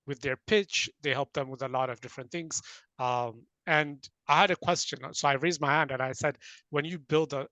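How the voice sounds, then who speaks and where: Bright-voiced man hosting, halting speech. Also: a little high, no room sound, poor audio. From Ethiopia. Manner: hesitant, restarts often, then bursts of animated laughter. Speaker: interview host, talent company founder. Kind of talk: casual interview chat.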